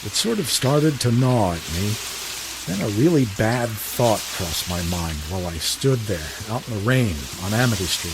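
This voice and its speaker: An actor plays a sober, down-on-his-luck night watchman from 1938, narrating a radio drama. His telling is wry and colloquial, with hesitant turns, in an average male register. Steady rain is clearly heard behind the voice. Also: mid-range voice, lightly acted. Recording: voice over steady rain noise.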